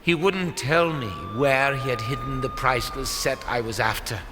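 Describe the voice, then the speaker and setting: A man playing a menacing, coldly obsessed gunman in an audio drama, taunting and deliberate in his delivery. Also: medium pitch, close to an everyday voice. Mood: taunting menace. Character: menacing, coldly obsessed male villain with a gun. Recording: long hall reverb, noisy.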